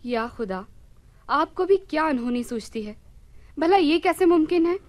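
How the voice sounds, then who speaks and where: A woman's voice: high voice, animated, unhurried, a little mellow. An actress playing a haughty, protesting queen in a theatrical radio play. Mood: indignant. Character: indignant, regal queen, wife of the caliph. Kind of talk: Hindi radio play acting.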